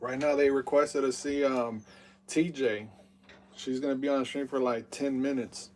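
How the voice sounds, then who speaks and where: A man's voice: mid-range voice, fairly even intonation, normal speed, distant mic, a little hiss or hum. A man in his thirties hosting a live game stream, rambling with lots of fillers and hyped play-by-play. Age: 30s.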